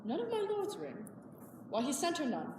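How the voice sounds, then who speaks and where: A woman's voice: high voice, huge pitch swings, normal speed. A woman performing Shakespearean verse as a young woman disguised as a man, speaking in a wondering, lyrical way. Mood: bewildered. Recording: echoey hall from far off, background noise.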